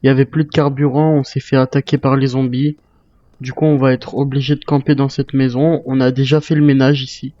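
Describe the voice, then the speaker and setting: A man's voice: mid-range voice, flat delivery, brisk, warm tone, lo-fi sound. A man chatting casually in character as a wary survivor while playing a zombie roleplay game.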